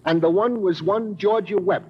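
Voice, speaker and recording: A man's voice: high-pitched, normal speed, slightly rough. An American man playing a chatty, eager police sergeant giving clipped reports with folksy asides in a radio drama. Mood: eager, official. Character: chatty, eager male police sergeant briefing his boss. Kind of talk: 1950s radio crime drama acting. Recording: muffled, noisy vintage radio recording.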